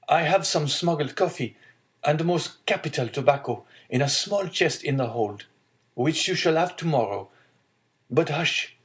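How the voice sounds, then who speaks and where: A man's voice: on the high side, pitched above the performer's own voice. A man voicing an eager, devoted young sailor in an audiobook story, speaking in an earnest, urgent way.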